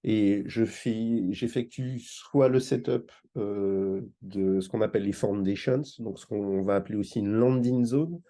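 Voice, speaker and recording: A man's voice: on the low side, a little slow, few pauses, dark tone. A man from Martinique giving an informal conference presentation as a guest speaker, explaining in a hesitant way with repeated words. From Martinique, France. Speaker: engagement manager, guest speaker.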